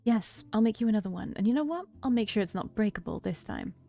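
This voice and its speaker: Woman as mellow, protective, teasing older sibling. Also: medium pitch, animated, quick pace, gravelly. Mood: exasperated, determined.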